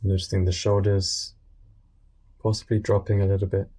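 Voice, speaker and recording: A man guiding a meditation in a gentle, unscripted way, speaking informally and soothingly in short phrases with pauses. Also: sits low, little pitch movement, a little hiss or hum. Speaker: meditation guide.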